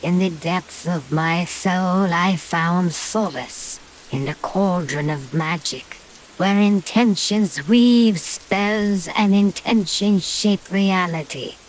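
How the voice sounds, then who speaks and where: A woman's voice: fairly low pitch, takes it slowly, rough recording. A British woman playing a haughty, power-hungry witch in a horror-comedy radio play, dramatic and sardonic, with a British accent. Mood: ominous, exultant. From Britain. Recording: hissy track with rain noise. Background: rain and hiss.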